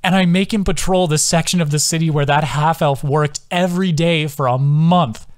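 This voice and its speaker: Casting: male reader as cheeky, gleeful soldier spinning a tale, informal and excitable, raised pitch, dry audiobook sound. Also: moderate pace, dry recording, natural voice. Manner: laughing, excited, informal, swearing storyteller. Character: gleeful teasing soldier man telling a raucous story.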